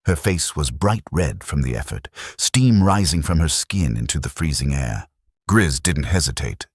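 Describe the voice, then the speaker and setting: Very deep, husky male narrator. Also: expressive, moderate pace, no room sound, clean studio sound. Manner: grave, dramatic storytelling. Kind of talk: scripted audiobook narration.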